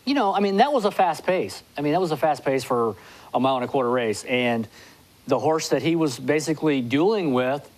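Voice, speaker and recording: A man in his forties appearing as a guest handicapper on a studio talk show, talking in quick bursts with many restarts, recorded on a lapel mic. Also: on the high side, animated. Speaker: guest horse racing handicapper. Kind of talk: studio interview. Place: TV studio with city skyline backdrop.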